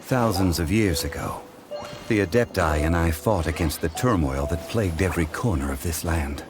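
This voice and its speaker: An actor voicing a calm, wise ancient god who speaks with formal, measured storytelling in a game cutscene, with game music behind. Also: male voice, low voice, natural voice. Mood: reflective. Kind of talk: video game cutscene dialogue.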